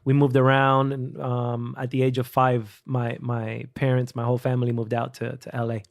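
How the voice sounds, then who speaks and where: A man's voice: mid-range voice, monotone, normal speed, gravelly. A man from Costa Rica, a singer guest on a podcast, easygoing and joking in casual chat, a bit stuffy from a cold. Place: studio.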